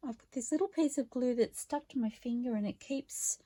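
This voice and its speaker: A woman with a slightly croaky voice, chatting her way through a craft project step by step in an unhurried, friendly tone. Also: on the high side, dark tone. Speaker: craft vlogger. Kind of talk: relaxed craft narration while working.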